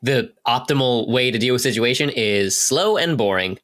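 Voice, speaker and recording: A man's voice: mid-range voice, expressive, normal speed, fairly bright tone. A man chatting casually as a podcast cast member, with a breezy and blunt way of putting things. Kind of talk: casual chat.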